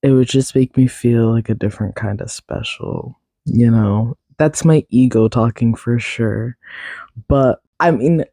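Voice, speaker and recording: A man's voice: mid-range voice, a little slow, mellow, dry recording. A man hosting a podcast, chatting casually on his own and thinking aloud as he hunts for the right words. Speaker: podcast host. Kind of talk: casual solo chat.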